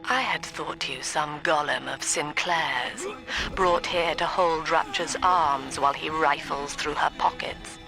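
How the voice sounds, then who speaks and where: An actress playing a cold, cerebral female mastermind in a game, measured and formal, with hushed menace. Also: deep voice, vocal fry, music in the background, rough recording. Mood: cold, musing. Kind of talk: theatrical game voice acting.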